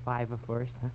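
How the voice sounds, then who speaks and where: An actor playing a scrawny, nervous barfly informant with a stammering, giggly wheedle in an old radio drama. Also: male voice, medium pitch. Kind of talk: 1940s radio drama acting. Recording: dull lo-fi old radio with noise.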